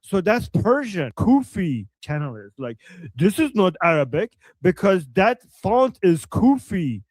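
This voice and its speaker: High-voiced man ranting on a livestream. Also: no room sound. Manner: ranting, insulting, mocking. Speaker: co-host of a live stream. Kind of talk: casual livestream commentary.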